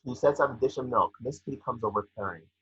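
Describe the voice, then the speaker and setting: A man's voice: mid-range voice, fast, sharp tone, husky. A man reading scripted scene descriptions as narrator, stumbling now and then. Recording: echoey, muffled home microphone.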